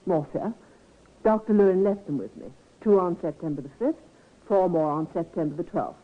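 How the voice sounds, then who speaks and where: An actress playing a proper, guarded nurse with formal, precise speech, in old-time radio style on a tinny recording. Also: female voice, on the low side, normal speed. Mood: guarded, composed.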